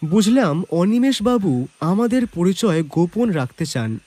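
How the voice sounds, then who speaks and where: A man narrating a detective story as the detective's companion, in an expressive dramatised scripted style. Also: sits high, measured pace, no room sound, exaggerated voice. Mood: reflective, atmospheric.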